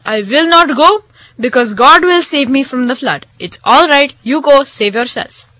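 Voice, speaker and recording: A woman's voice: sits high, expressive, measured pace, sharp tone, no echo, heavily acted, poor audio. A woman voicing a devout, simple-minded holy man in an oral story, stubbornly and repeatedly refusing help. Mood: cheerfully stubborn. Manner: insistent, repetitive refusals with exclaimed "oh no".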